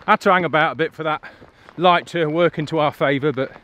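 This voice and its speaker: A man's voice: fairly high pitch, fairly bright tone, highs cut off. A man, chatting casually to camera as a photography vlogger outdoors. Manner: chatty, upbeat, colloquial. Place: outdoors by a waterfall in a valley. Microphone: on-camera mic, handheld selfie framing. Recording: rushing water noise behind. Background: waterfall and stream.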